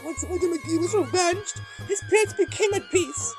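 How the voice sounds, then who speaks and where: A woman's voice: very high voice, huge pitch swings, moderate pace, music in the background, exaggerated voice. A woman voicing a naive boy who reads a comic aloud in a simple, slangy, childlike way. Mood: earnest, ominous. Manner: simple, slangy, ungrammatical phrasing. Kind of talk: childlike read-aloud storytelling.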